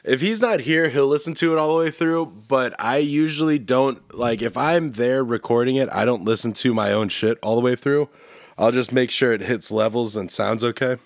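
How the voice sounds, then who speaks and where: A young man hosting a podcast with crude, mocking, profane banter. His mid-pitched voice sounds close and dry on a studio mic, with no room around it. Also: moderate pace, no room sound. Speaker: podcast host.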